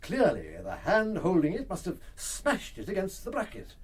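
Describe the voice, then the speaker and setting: Pompous, theatrical, high-voiced gentleman detective. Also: wildly swooping pitch, slightly rough, heavily acted. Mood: deductive, pompous. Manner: pompous, deliberate, musing aloud with emphatic pronouncements. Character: eccentric, self-assured older male gentleman detective, theatrically deducing. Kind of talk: full-cast radio drama acting.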